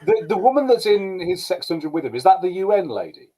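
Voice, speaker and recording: A man's voice: high voice, sing-song, fast, dark tone, breathy edge. A man co-hosting a podcast in casual chat, restarting his sentences often as he gives opinions. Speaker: podcast co-host.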